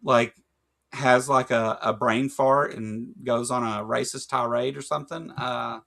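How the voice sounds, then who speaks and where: A man with a Kentucky accent, his voice carrying a gravelly rasp. He rambles through a casual livestream chat, padding his talk with fillers and dry jokes. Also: medium pitch, steady tone, unhurried, fairly bright tone. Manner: rambling, filler-heavy, joking. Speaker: podcast co-host.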